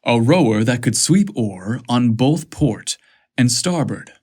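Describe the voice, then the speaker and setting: A man reads scripted romance fiction as its narrator. His voice sits at a medium pitch. He takes the story slowly, phrase by phrase. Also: animated, darkish tone, husky.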